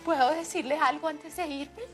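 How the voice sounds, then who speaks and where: A humble, dutiful female office worker, played by an actress in telenovela style. Her high voice hesitates and defers as she apologizes, with music playing clearly underneath. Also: fairly even intonation, moderate pace, close to an everyday voice. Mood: meek, apologetic. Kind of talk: telenovela drama acting. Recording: TV drama clip with loud music underneath.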